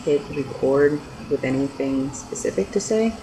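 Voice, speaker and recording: Young man, average pitch, rambling hesitant delivery, casual vlog chat, noisy low-quality amateur audio. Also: animated, normal speed. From California, United States. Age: young adult. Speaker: video blogger. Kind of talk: casual unscripted chat to camera.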